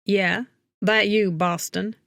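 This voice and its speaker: A woman voicing a gruff, cocky, easygoing man with a Southern US drawl in an audiobook. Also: mid-range voice, steady tone, takes it slowly, a bit bright, no echo, clean studio sound. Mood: cocky, casual. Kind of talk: audiobook character acting.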